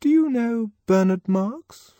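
A man's voice: medium pitch, huge pitch swings, normal speed, darkish tone, slightly rough, dry recording, pitched above the performer's own voice. A man voicing a breezy, teasing young woman in an audiobook reading.

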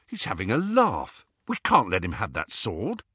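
A man's voice: on the low side, huge pitch swings, normal speed, sharp tone, breathy edge, dry recording, studio quality, full character voice. A man voicing a brash, eager, easily indignant teenage wizard boy in an audiobook, with a blunt colloquial manner. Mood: scornful. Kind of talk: audiobook character voice.

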